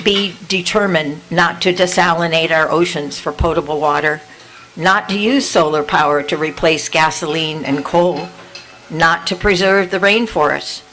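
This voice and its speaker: Soft-spoken older woman reading poetry. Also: fairly low pitch, low-quality recording. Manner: measured reading with pauses, then loose and halting between poems. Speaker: poet reading at an event. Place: indoor venue with an audience.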